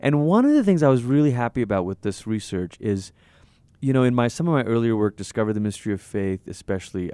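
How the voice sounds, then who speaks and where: A man from Malaysia, a pastor, talking in a lively, thoughtful way in an interview and laughing easily. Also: medium pitch, no echo. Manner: animated, thoughtful, quick to laugh. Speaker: pastor and author. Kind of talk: conversational interview.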